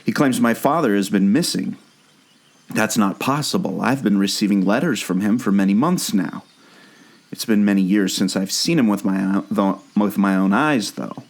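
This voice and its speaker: A man's voice: on the low side, moderate pace, vocal fry. A man playing an earnest, obsessive amateur sleuth and former jungle skipper in a scripted audio drama. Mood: reading aloud. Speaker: podcast co-host.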